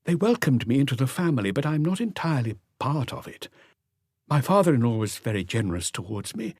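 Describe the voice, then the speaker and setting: A man's voice: medium pitch, big pitch swings, a little fast, darkish tone, slightly rough, dry recording, voice pushed up. A man voicing a nervous, deferential doctor who answers police questions in a hesitant, guarded way for an audiobook. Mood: uneasy, guarded.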